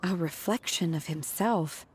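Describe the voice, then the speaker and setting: A woman voicing a reserved, earnest young woman who speaks quietly and thoughtfully, in a game cutscene. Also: fairly low pitch, measured pace, slight background noise, close to an everyday voice. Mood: pensive.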